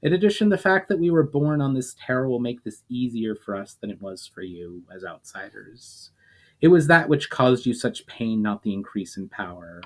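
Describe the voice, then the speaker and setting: A man's voice: medium pitch, very animated, moderate pace. A man reading as a firm, patient hero leader. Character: resolute male leader, patient but tired of explaining.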